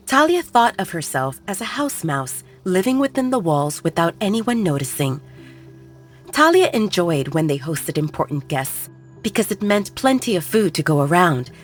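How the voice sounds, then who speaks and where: A woman reading a fantasy story aloud in an even, measured way. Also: fairly low pitch. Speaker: audiobook narrator. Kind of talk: scripted storybook narration.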